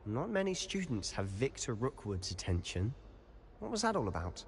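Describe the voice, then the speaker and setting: Confident young student, sly, friendly, lively intonation, video game dialogue, long reverb. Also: male voice, medium pitch, moderate pace, slight background noise. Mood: curious, conspiratorial. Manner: casual, self-assured. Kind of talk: video game character acting. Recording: long reverb, faint ambience.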